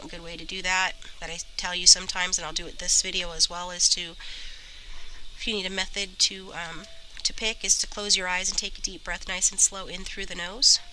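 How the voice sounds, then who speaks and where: A woman with a stuffy, slightly rough voice from a cold, chatting casually as she gives a tarot reading. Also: lowish voice, bright, forceful tone, a little hiss or hum, rough recording. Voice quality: a little rough. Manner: chatty, many fillers and restarts. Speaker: tarot reader.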